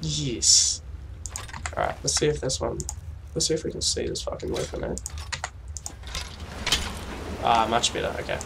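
A young man from New Zealand with a New Zealand accent, commentating a game casually while he has a cold, excitable and sweary with lots of fillers. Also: mid-range voice, moderate pace, gravelly, pauses often. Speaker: let's play gamer. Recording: distant mic with noisy background.

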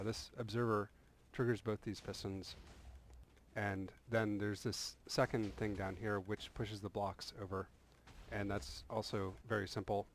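A man's voice: lowish voice, a little hiss or hum. A man casually talking through a game build in a voice-over, rambling a little with restarts and fillers. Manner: relaxed, rambling explanation with frequent restarts and "uh". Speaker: game tutorial creator.

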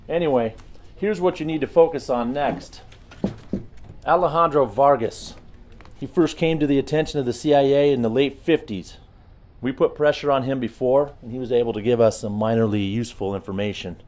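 An actor playing a brisk, authoritative intelligence chief briefing his team in a video game cutscene, businesslike and commanding. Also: mid-range voice, darkish tone, close to an everyday voice.

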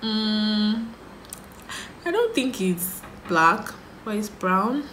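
A woman's voice: mid-range voice, extremely slow, reverberant, a little hiss or hum, poor audio. A Nigerian woman in her thirties, a makeup vlogger chatting casually to camera and musing through get-to-know-me questions about herself. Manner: relaxed, musing, answers her own questions aloud. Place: small indoor room, sheet backdrop.

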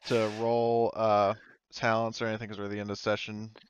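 A man's voice: lowish voice, flat delivery, fairly quick, clean tone, no room sound. Male game master, clean resonant voice, casual delivery at the table, close and dry.

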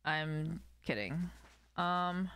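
A woman's voice: deep voice, lively intonation, drawn-out words, fairly bright tone, clear, resonant tone. A young adult woman streaming a game, chatting casually and playfully with her viewers in slangy speech. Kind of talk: casual live stream chat while gaming. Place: small room at home, indoors.

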